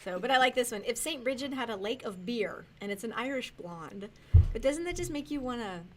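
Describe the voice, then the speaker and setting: A woman's voice: fairly high pitch, animated, fairly quick, noisy background. A woman co-hosting a casual book recommendation chat, talking in a chatty, enthusiastic, giggly way. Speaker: co-host of a book recommendation show.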